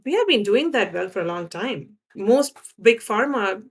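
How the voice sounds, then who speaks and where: A woman's voice: mid-range voice, animated, normal speed. A woman from India with an Indian accent, a guest scientist explaining her work in a conversational interview, often checking in with "right?". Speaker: guest scientist in drug development.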